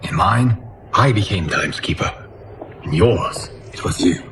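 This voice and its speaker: An actor playing a composed guardian god of time, grave and formal, in a video game cutscene. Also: male voice, fairly low pitch, lively intonation, unhurried, background noise. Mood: solemn. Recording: loud cinematic music over dialogue.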